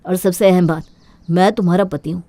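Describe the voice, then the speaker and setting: Actor as an earnest, caring young husband. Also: male voice, sits high, fairly even intonation, fairly quick, warm tone, no room sound. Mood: reassuring. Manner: earnest, concerned. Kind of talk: Hindi cartoon moral story acting.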